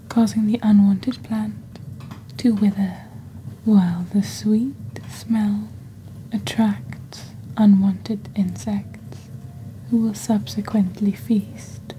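A woman voicing a witch who hosts a potion shop in soft, whispery, breathy ASMR, speaking slowly and deliberately in a measured sales patter. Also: medium pitch, a little hiss or hum, close to an everyday voice, rough recording. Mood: inviting, calm. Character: witch shop host peddling dark potions and poisons. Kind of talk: soft-spoken ASMR shopping-channel presentation.

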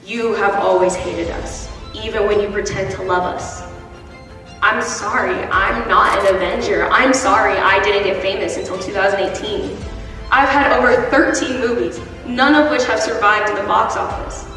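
A young woman performing a spoken word poem on a school stage, intense and building to forceful peaks. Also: normal speed, soft background music. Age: teens. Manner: intense, rising to forceful peaks, then dropping low. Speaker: student poet. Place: school stage with red curtain. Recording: echoey hall from far off.

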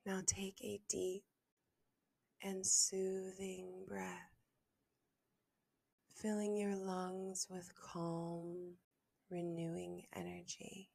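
A woman with a soft, breathy, slightly low voice guides a meditation, often slipping close to a whisper. She speaks very slowly and soothingly, leaving long pauses, with a creaky edge to her words. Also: completely flat delivery. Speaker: meditation guide and podcast host.

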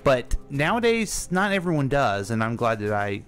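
A man's voice: mid-range voice, big pitch swings, normal speed, a bit bright, soft background music. A middle-aged man chatting casually as a podcast host who asks the questions, speaking into a close studio mic. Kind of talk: casual chat. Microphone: close studio microphone.

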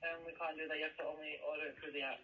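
A man's voice: fairly high pitch, level tone, rapid-fire, slight background noise. A man answering calls in a polite customer service manner, heard through a phone speaker in a room. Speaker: takeaway phone worker. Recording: tinny speakerphone picked up in a room.

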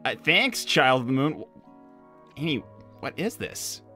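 A woman's voice: a bass voice, sing-song, measured pace, pushed and bright, faint music behind, heavily acted. A woman voicing a laid-back young male cartoon hedgehog hero, chatting in a casual, hesitant, rambling way on an in-character livestream. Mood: puzzled, uneasy. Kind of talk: improvised in-character livestream chat.

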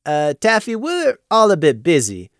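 A man voicing a dry, sardonic male sergeant with bat wings, talking deadpan. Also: high-pitched, unhurried, dry recording, voice pushed up, full character voice.

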